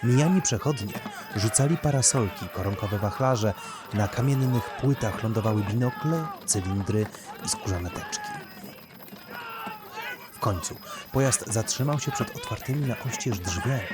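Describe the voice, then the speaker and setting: A man narrating literary fiction as a scripted audio story. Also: fairly low pitch.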